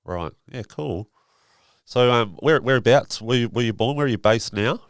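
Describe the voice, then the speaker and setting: A man hosting a podcast, asking relaxed, informal questions. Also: mid-range voice, moderate pace, dry recording, clean studio sound. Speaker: podcast host. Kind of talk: casual interview hosting.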